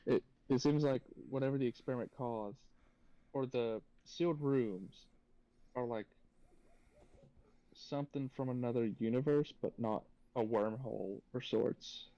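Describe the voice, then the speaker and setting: Mellow-voiced man musing in casual chat. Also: medium pitch, measured pace, no room sound, muffled recording. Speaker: host of a reaction podcast.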